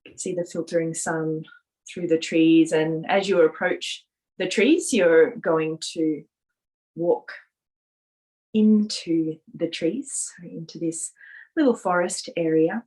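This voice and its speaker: A woman leading a guided visualisation meditation, speaking softly in a gentle, soothing manner with drawn-out pauses. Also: low voice, steady tone, poor audio.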